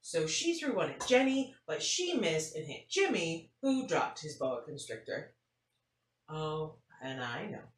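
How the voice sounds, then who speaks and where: Woman reading as a nonchalant schoolgirl, animated pitch. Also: measured pace. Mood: matter-of-fact, animated. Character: nonchalant schoolgirl recounting an unbelievable farm trip. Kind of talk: picture-book read-aloud. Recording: roomy, faint noise, distant mic.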